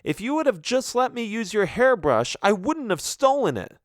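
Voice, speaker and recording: A man reading a story aloud, voicing a defiant, hot-tempered teenage girl in a raised, shrill voice. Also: big pitch swings, normal speed, no room sound, clean studio sound. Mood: furious.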